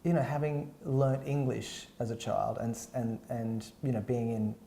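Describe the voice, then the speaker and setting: Australian man in his thirties, chatty host, warm and mellow, lapel mic. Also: medium pitch, steady tone, measured pace, creaky, slight background noise. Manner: chatty, rambling, with restarts. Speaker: interviewer, host. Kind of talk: casual interview chat. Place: small studio set with plants.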